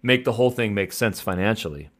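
A man's voice: fairly low pitch, expressive, quick pace, a bit bright. A man hosting a podcast interview, introducing his guest with upbeat energy. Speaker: podcast host.

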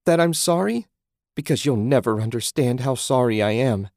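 A man's voice: medium pitch, fairly even intonation, moderate pace, darkish tone, dry recording, studio quality, lightly acted. A man voicing a self-loathing, beaten-down mother in an audiobook, confessing and pleading. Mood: desperate pleading. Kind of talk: audiobook character acting.

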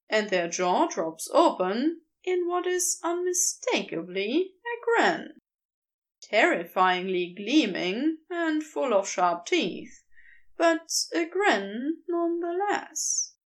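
A woman reading a fantasy story aloud, with unhurried and expressive storytelling. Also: on the high side. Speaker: podfic reader. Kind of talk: scripted story narration.

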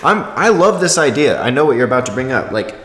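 A man co-hosting a casual podcast with lots of swearing. His pitch jumps around in an animated way as he hypes things up and encourages. The room around him echoes noticeably. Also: fast. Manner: passionate, hyped-up, encouraging. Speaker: podcast co-host. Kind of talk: casual, profane podcast chat. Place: echo-heavy indoor room.

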